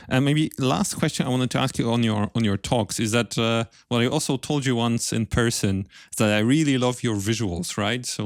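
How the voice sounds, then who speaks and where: A man hosting a podcast interview, putting casual questions together in a halting, careful way. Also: mid-range voice, no room sound, studio quality.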